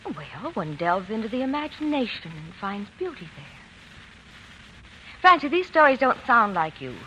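An actress playing a prim, genteel schoolteacher, proper and instructive, in a 1940s radio drama. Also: female voice, medium pitch, sing-song, normal speed, sharp tone, rough recording. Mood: disapproving, shocked. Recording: vintage 1940s radio, faint noise.

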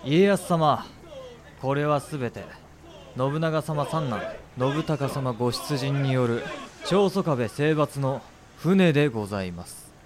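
A man's voice: mid-range voice, expressive, slow pace, crowd noise. A man playing a deferential tea-master merchant, speaking with polite, humble formality in a period drama. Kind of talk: Japanese period drama acting.

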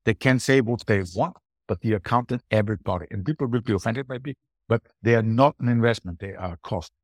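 A man's voice: medium pitch, a little fast, no echo, studio quality. A middle-aged or older man with an Austrian accent and German as his native language, giving emphatic, conversational answers as an interview guest. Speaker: podcast guest, booking strategist.

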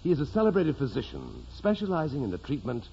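A muffled, faintly hissy old radio drama recording carries an officious, scheming official. His deliberate, pompous speech swings very widely in pitch. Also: wildly swooping pitch, gravelly, mellow. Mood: coolly scheming. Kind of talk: 1940s radio drama acting.

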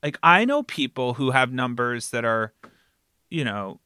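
Podcast interviewer, male, bright forward voice, dry close sound, casual and conversational, checks in often. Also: mid-range voice, a little slow, clear, resonant tone, no room sound. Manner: animated rhetorical check-ins, often ending phrases with "right?". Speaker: podcast interviewer and fiction podcast creator.

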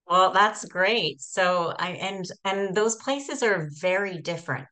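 A woman hosts a podcast interview in a fairly low voice with a bright, forceful edge. She reads a guest's introduction and then asks her questions, restarting a few words here and there. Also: slow pace. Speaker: podcast host. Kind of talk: interview hosting.

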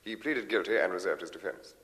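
An actor with a Received Pronunciation accent playing a formal, sober 1950s radio newsreader. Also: male voice, low voice, fairly quick, a bit bright. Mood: formal, grave. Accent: British Received Pronunciation. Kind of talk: 1950s radio news bulletin. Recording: old radio recording, long hall reverb.

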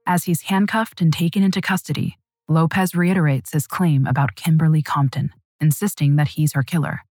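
Husky low-voiced woman narrating true crime. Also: steady tone, darkish tone, no echo, clean studio sound. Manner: measured, dramatic storytelling. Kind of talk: scripted narration.

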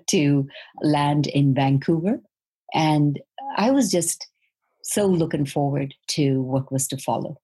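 Fifties woman, interview guest, measured storyteller; mellow and husky low voice. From Malaysia. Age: 50s. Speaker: podcast guest. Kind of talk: reflective interview answers.

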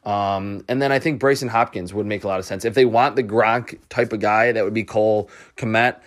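A man with a fairly low voice works through football questions alone as a podcast host. He speaks off the cuff, thinking aloud in short, note-like phrases. Small hesitations break up his analysis as he goes. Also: fairly even intonation, normal speed. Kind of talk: off-the-cuff solo football commentary, answering questions.

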